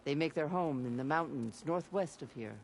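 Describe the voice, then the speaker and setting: An actor playing an earnest guide who recounts clan legends in a dramatic video game storytelling style. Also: male voice, fairly high pitch, normal speed, gravelly, background noise. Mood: earnest, reverent.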